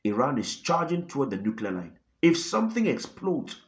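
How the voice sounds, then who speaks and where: A man voicing grave, blunt male world leaders in a retold phone call, speaking firmly and deliberately. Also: medium pitch, sing-song, pitched above the performer's own voice, natural voice. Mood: grave, warning. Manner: heavy, deliberate, firm.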